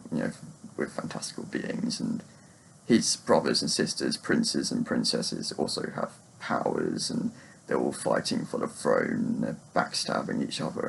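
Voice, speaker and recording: A man with a gravelly, husky voice, chatting casually about books in a rambling, hesitant, self-deprecating way. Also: deep voice. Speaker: book vlogger.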